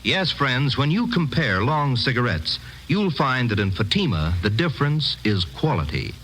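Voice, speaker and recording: A man's voice: mid-range voice, big pitch swings, normal speed, a bit bright. A man reading a scripted 1950s radio commercial in an emphatic, salesy announcer style. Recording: faintly noisy vintage radio recording.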